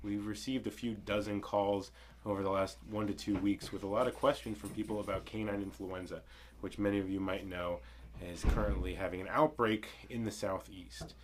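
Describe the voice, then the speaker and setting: A man with a fairly low voice, a bit snuffly and congested from a cold. He speaks as a veterinarian addressing clients, calm and measured, laying out points one by one. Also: slight background noise. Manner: plain, measured explanation, listing points. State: congested, getting over a cold. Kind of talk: informational clinic video.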